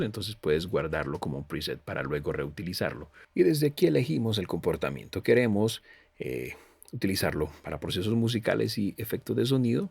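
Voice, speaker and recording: A man presenting an informal software walkthrough, explaining step by step and speaking directly to the viewer. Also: sits low, creaky, slightly rough, no room sound. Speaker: tutorial presenter reviewing audio software.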